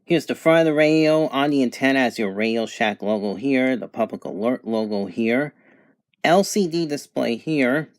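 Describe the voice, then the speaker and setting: A man presents a hands-on product review in a mid-pitched voice. His delivery is halting and matter-of-fact, like someone explaining features as he finds them. The sound is dry, with little room to it. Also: lively intonation, no echo. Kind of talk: unscripted product demonstration.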